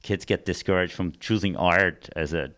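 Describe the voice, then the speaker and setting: A man's voice: sits low, fairly even intonation, moderate pace, a bit bright. Rambling middle-aged Austrian man. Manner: rambling, many restarts and fillers. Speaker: arts program director. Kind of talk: casual interview storytelling.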